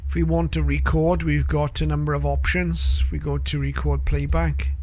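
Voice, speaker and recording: A man presenting an informal spoken tutorial, explaining step by step with hesitant pauses. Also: on the high side, flat delivery, a little mellow. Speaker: presenter of a shortwave radio tutorial.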